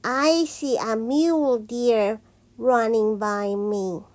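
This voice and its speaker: A woman reading children's picture books aloud as a teacher, in a deliberate, sing-song manner. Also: on the high side, fairly even intonation, a little mellow.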